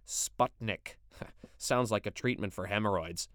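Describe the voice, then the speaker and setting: A man playing a gruff, stern pipe-smoking father in a read-aloud story. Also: medium pitch, normal speed, fairly bright tone, dry recording, studio quality, natural voice. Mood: joking.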